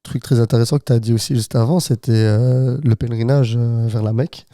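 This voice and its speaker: Man, Flemish accent, very dark tone, hesitant chat, podcast guest. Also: mid-range voice, steady tone, fairly quick, dry recording, clean studio sound. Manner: hesitant, many fillers and restarts. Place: hot podcast studio.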